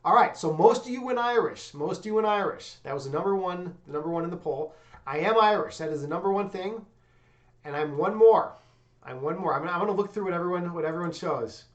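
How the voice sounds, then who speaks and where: A young man casually chatting with viewers on his livestream in a loose, excitable way, swearing freely and peppering his talk with "dude" and "man.". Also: high voice, fast talker, muffled recording. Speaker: livestream host.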